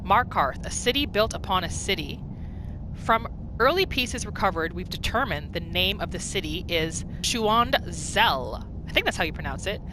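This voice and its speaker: A woman's voice: on the low side, lively intonation, normal speed. A woman giving casual game commentary on her roleplay playthrough, thinking aloud and exclaiming readily. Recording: clear noise behind the voice.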